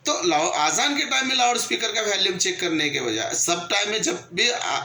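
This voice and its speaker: A man's voice: high voice, very animated, moderate pace, pushed and bright. A man giving emphatic, repetitive, argumentative opinion commentary to his viewers as a channel host. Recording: echoey room with background noise.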